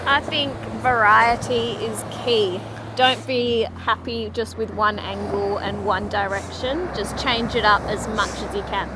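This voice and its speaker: A young woman speaking softly and briefly on a beach, heard from a distance. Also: on the high side, fairly even intonation, unhurried, few pauses, background noise. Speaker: model. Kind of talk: casual chat. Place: open beach outdoors. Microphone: camera mic from a distance.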